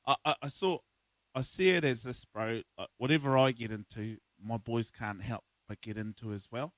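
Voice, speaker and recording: Casting: young New guy, pumped-up laughing banter, mid-pitched voice. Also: moderate pace, fairly bright tone, no echo, rough recording. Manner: laughing, hyped-up banter with slang like "bro". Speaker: guest. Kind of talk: casual podcast interview.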